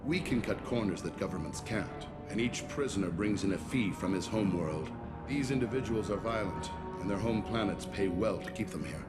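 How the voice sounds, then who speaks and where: An actor playing a stern, self-righteous alien warden of a space prison ship in a video game, speaking formally and with authority. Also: male voice, on the low side, animated, normal speed, natural voice. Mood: smug, matter-of-fact. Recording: loud music behind the voice.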